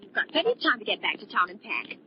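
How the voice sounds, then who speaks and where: An actress playing an anxious, gently scolding woman, brisk and insistent, in 1930s radio drama style. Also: medium pitch, sing-song, close to an everyday voice. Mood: chiding. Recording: muffled, lightly noisy 1930s radio recording.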